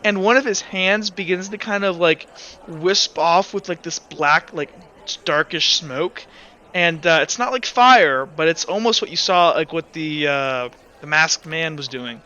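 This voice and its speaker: Male game master, slightly high, forceful voice, halting improvised narration, slightly dull lo-fi audio. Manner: halting, filler-heavy.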